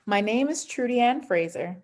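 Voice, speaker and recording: A woman's voice: fairly high pitch, expressive, normal speed, darkish tone. A woman giving an interactive online talk, speaking emphatically and directly to listeners over a video call. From born in Jamaica, grew up in Georgia, USA. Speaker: pediatric dentist, guest speaker. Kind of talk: prepared, interactive online presentation. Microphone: computer on a video call.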